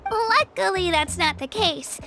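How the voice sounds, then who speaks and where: A young girl warrior in a video game. She sounds extremely high and girlish, earnest and polite, with humble eagerness. Music is clearly playing behind the voice. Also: expressive, a little slow, heavily acted. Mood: eager, polite. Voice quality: girlish, youthful.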